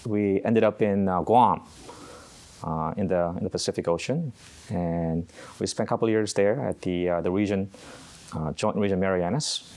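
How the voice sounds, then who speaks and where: A middle-aged man from Korea, a Navy chaplain answering interview questions in an unhurried way, often pausing on uh. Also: low-pitched, darkish tone. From South Korea. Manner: unhurried, halting with frequent uh pauses.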